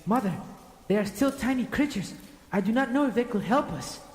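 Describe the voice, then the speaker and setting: Actress doing a worried sidekick prisoner, high earnest voice, echoey with a bit of hiss. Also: female voice, lively intonation, a little fast, lightly acted. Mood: worried, questioning. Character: anxious, questioning young captive companion of a queen. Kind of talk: comedic audio drama acting. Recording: echoey reverb, faint noise.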